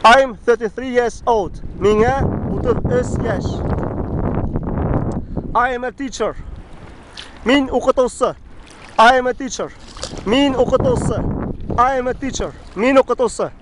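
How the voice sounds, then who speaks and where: A man's voice: very high voice, unhurried, pauses often, background noise. A man, teaching a casual outdoor language lesson on a boat, patiently repeating words. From Bashkortostan. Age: 30s. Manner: patient, repetitive, drills words twice. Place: outdoors on a boat on a wide river. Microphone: camera mic, selfie framing at arm's length.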